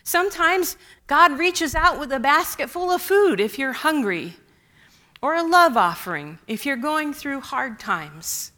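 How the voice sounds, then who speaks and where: Slow, bright-voiced woman preaching. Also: high voice, expressive. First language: Russian. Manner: slow, measured, with wry asides. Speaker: pastor. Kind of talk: sermon.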